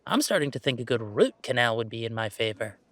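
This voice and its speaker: A man's voice: mid-range voice, very animated, fast, a bit bright, no room sound. A man playing a weary but fond werewolf gamer who streams, bantering and complaining in a conversational roleplay audio. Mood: relaxed, wry. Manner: sighing, bantering, mock-defensive complaining. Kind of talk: one-sided roleplay audio drama, conversational.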